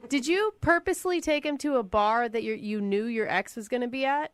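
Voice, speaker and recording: A woman's voice: a little high, fairly quick, dry recording, studio quality. A woman co-hosting a radio show with blunt, incredulous banter.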